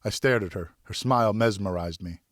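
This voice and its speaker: A man's voice: on the low side, fairly quick, dry recording, clean studio sound. A man narrating a novel in the first person as a professional audiobook reader.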